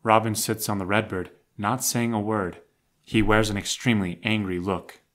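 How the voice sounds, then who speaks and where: Casting: male narrator for scripted drama, fairly low voice, reading scene descriptions. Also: steady tone, normal speed.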